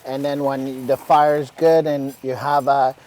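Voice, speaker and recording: A man from Iran, a guest chef, calmly talking through dishes outdoors, step by step with a few fillers. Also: medium pitch, measured pace, a little hiss or hum. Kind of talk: casual cooking chat. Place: outdoors at a villa table.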